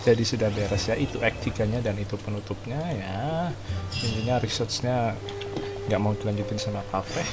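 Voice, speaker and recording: A man's voice: fairly low pitch, brisk, music in the background. A young man giving slangy, informal game commentary as a streamer. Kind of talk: casual playthrough commentary. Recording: noisy game stream with background ambience. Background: game audio.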